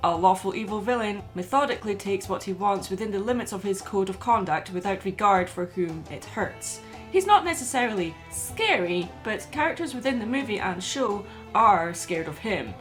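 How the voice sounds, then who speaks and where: A woman's voice: medium pitch, animated, moderate pace, hardly any pauses, fairly bright tone, soft background music. A woman delivering scripted commentary as the narrator of a video essay.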